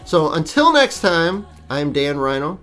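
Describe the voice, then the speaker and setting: A man's voice: a little high, very animated, a little slow, a bit bright, soft background music. Congested man rambling on a podcast. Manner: rambling, joking, many fillers. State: congested from allergies. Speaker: podcast co-host. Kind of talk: casual chat.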